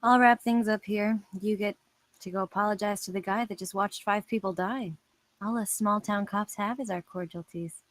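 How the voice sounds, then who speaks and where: A woman's voice: mid-range voice, fairly even intonation, normal speed, no echo, close to an everyday voice. A woman playing a matter-of-fact, reproving small-town police detective in a screenplay table read. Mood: chiding.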